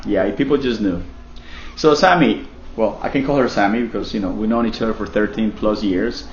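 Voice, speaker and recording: A man's voice: fairly low pitch, fairly quick, echoey room, lo-fi sound. A man from Colombia interviewing a colleague in a casual, joking way, often repeating himself. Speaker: interviewer, real estate team leader.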